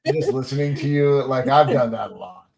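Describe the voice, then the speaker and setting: A man co-hosting a casual live stream, teasing the viewers in quick banter. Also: medium pitch, gravelly, a little mellow. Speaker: co-host.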